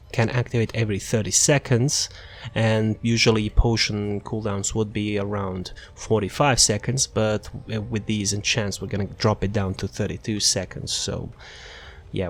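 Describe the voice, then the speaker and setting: A man casually explaining a video game build as he plays, improvising and correcting himself as he goes. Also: on the low side. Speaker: gamer presenting a character build guide. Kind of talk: casual gameplay guide commentary.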